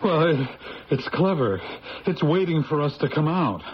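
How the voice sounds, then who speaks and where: A man's voice: a little high, very animated, moderate pace, slight background noise. An actor playing a frightened, cautious young man in an old-time radio drama, speaking in a stammering, pleading, urgent manner. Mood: anxious. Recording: dull lo-fi radio recording, loud music.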